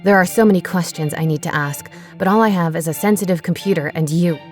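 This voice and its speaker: A woman's voice: fairly low pitch, fast talker, soft background music, close to an everyday voice. A woman reading voicing a bitter, newly cloned man who lashes out in anger. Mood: angry. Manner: angry, forceful.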